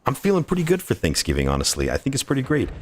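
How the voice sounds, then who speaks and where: A man's voice: medium pitch, wildly swooping pitch, rapid-fire, husky. A man livestreaming a game, chatting casually and playfully with his viewers, effusive and prone to repeating himself. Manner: effusive, playful, repeats phrases, goofy asides. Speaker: livestreamer playing a game and greeting chat.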